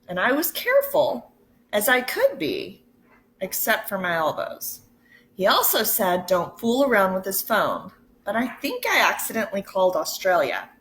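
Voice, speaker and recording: Slow-paced woman reading a storybook aloud. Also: medium pitch. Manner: expressive, deliberate, pauses to ask listeners questions. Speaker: teacher reading a picture book to children.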